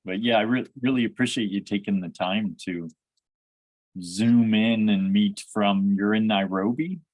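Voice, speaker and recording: Halting host with a low, dry voice. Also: male voice, steady tone, darkish tone, no room sound. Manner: halting, restarts phrases often. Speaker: podcast host. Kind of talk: conversational interview.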